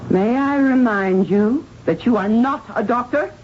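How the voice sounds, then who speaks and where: An actress playing a stern, domineering senior nurse in a 1970s radio drama, speaking in a clipped, formal, commanding way. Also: female voice, a little high, a little slow, close to an everyday voice. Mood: cold, commanding. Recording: dull lo-fi radio broadcast, noisy.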